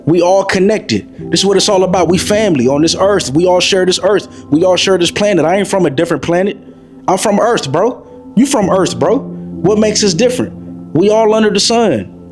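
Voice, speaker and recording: A man's voice: sits high, lively intonation, moderate pace, soft background music. A man in his twenties giving a preachy, repetitive motivational monologue, calling the listener bro. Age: 20s. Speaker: motivational vlogger.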